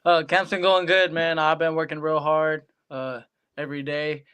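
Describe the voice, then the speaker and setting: A man's voice: a little high, level tone, normal speed, a bit bright, smooth. A young American man giving casual interview answers as a guest athlete, in colloquial, laid-back phrasing. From Hawaii, United States. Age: young adult. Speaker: professional boxer, guest.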